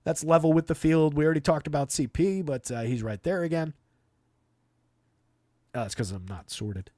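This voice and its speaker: A man's voice: fairly high pitch, moderate pace, warm tone, no room sound. A man hosting a live fantasy basketball stream, talking through analysis off the cuff in a conversational, dry way. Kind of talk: live unscripted sports analysis with chat replies.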